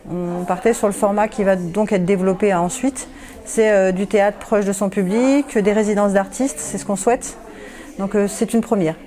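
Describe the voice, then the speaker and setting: A woman giving unscripted interview answers as a theatre official, explaining in a halting, hesitant way. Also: medium pitch, dark tone.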